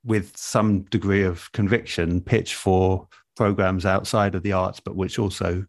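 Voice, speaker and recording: Low-voiced, thoughtful man in conversation. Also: flat delivery, unhurried, no room sound, studio quality. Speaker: guest, radio and podcast producer. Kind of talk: relaxed interview conversation.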